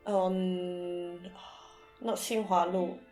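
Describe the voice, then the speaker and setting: A woman's voice: fairly low pitch, fairly even intonation, very slow, warm tone, soft background music. A woman speaking as a podcast guest in casual, somewhat hesitant conversation, often rephrasing as she goes. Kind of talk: casual chat.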